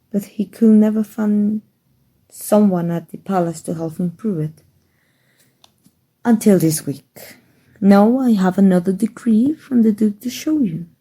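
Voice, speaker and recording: A woman playing a kind, playful young princess in love, regally confident, with soft, teasing, courtly phrasing in a romantic ASMR roleplay. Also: mid-range voice, unhurried, breathy edge, poor audio. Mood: proud, tender. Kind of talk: romantic ASMR roleplay, fairy-tale storybook acting.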